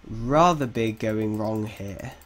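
Man, croaky from a cold, slow and hesitant, thinking aloud, keyboard typing in background. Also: lowish voice. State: has a cold, slightly croaky. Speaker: game development tutor. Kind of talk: casual screen-recorded coding tutorial.

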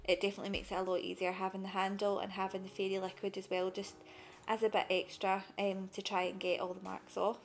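A woman's voice: mid-range voice, level tone, fast talker. A young woman narrating her cleaning vlog over the footage in a chatty, matter-of-fact way. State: pregnant. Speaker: cleaning vlogger.

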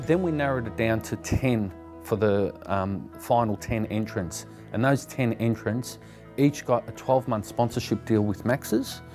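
A man's voice: medium pitch, a little mellow. A middle-aged man, a personal trainer, explaining matter-of-factly in a sit-down interview. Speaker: fitness coach and personal trainer.

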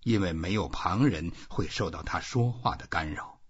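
A man reading a novel aloud as scripted literary narration, in an expressive storytelling manner. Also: sits low, normal speed, breathy edge, muffled recording. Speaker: audiobook narrator.

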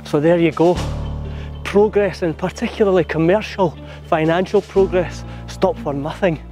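Guy, 30s, arm's-length vlog outdoors, earnest and eager, slightly high voice, faint music behind. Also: normal speed. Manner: earnest, gets loudly excited on finding things. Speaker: vlogger exploring waterfalls. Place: outdoors in forest and hills. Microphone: camera held at arm's length.

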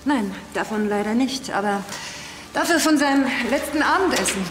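An actress playing a forensic pathologist, matter-of-fact and dry. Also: female voice, on the high side, lively intonation, moderate pace, noisy background, natural voice. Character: dry, self-assured female forensic pathologist and mother. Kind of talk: TV crime drama acting. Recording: roomy echo with music.

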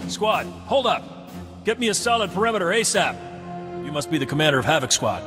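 An energetic young male lieutenant, fairly high and very animated. He is brisk and respectful, a game soldier in a long reverb with music behind him. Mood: commanding. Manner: brisk, commanding, deferential to superior. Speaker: military lieutenant. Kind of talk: video game voice acting.

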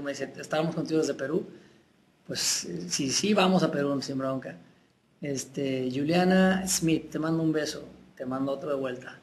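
A man's voice: on the high side, creaky, slight background noise. Chatty young Mexican man on a webcam. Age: young adult. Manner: warm, chatty, reads out names and sends kisses. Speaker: singer answering fans on a webcam stream. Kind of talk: casual live chat reading fan messages. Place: room with tall windows, indoors. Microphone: laptop webcam.

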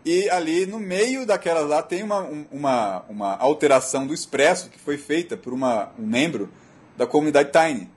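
A Brazilian man with a slightly husky voice giving a technical presentation in a halting, repetitive, explanatory way. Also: on the high side, big pitch swings. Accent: Brazilian Portuguese. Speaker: technical speaker. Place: auditorium.

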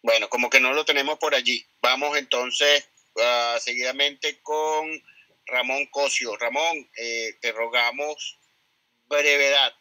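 A man hosting a live audio room, thanking speakers and calling the next one in a courteous, brisk way. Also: medium pitch, sharp tone, no room sound, rough recording. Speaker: host.

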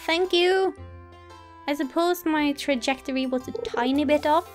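A woman's voice: high voice, measured pace. A woman giving casual, polite gameplay commentary as a let's play host, chatting to herself and the game. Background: game music.

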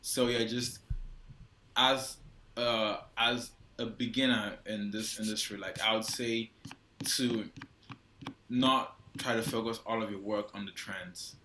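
A young Nigerian man talks as a guest on a video call, his fairly low voice bright and forceful. He rambles casually with many restarts and fillers. The sound is roomy, with echo from a small indoor room. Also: steady tone, takes it slowly, a little hiss or hum. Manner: loose and hesitant, heavy use of "like" and restarts. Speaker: guest photographer. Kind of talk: casual interview answers. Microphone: wired earphone mic on a video call.

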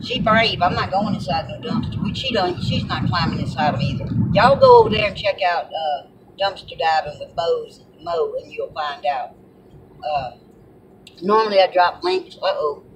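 A man's voice: a little high, big pitch swings, normal speed. A man with a Southern US accent chatting casually and folksily as he vlogs from a moving car. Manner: folksy, colloquial. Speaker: vlogger. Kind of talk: casual rambling chat while driving. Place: inside a moving car on a road. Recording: loud car road noise, distant mic.

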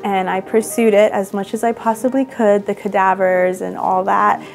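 A woman's voice: mid-range voice, little pitch movement, normal speed, vocal fry. A young woman in an interview, earnestly telling her story in a chatty way with lots of "like" fillers. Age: young adult. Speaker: fashion designer and boutique owner. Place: indoor room, seated across from interviewer. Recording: soft music underneath.

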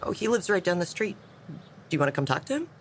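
A woman's voice: on the low side, sing-song, fast, full character voice. An actress playing a good-natured small-town woman in an audio drama, talking casually about a local legend. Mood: playful. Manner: easygoing, casual. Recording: clear background ambience noise.

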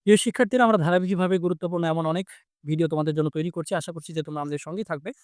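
Man, Bangladeshi Bengali accent, teacher, fairly high pitch, repetitive, questioning, conversational lesson delivery, dry recording. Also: fairly even intonation, very fast, no room sound. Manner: conversational, repeats phrases and asks check questions. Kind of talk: interactive vocabulary lesson, explaining and quizzing.

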